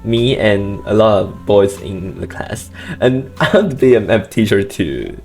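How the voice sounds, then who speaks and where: A teenage senior high student speaking learner English, answering in a hesitant, giggly way and often repeating words. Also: expressive, unhurried, a little mellow, soft background music. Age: teens. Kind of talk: casual interview in learner English.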